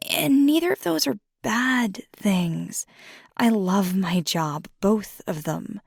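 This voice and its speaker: A woman playing a young, earnest werewolf girlfriend in an intimate ASMR roleplay, soft-spoken and hesitant, with a husky, breathy voice. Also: medium pitch, expressive, slow, dry recording, studio quality, heavily acted. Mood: earnest, impassioned. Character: fiery young female werewolf girlfriend, earnest, ambitious, vulnerable.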